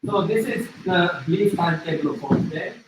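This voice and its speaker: A man with a Japanese accent lecturing to a class in a deliberate, halting way, as a native Japanese speaker. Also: sits high. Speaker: business school lecturer. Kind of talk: interactive demo lecture with self-introduction. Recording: very echoey room, distant mic, faint noise.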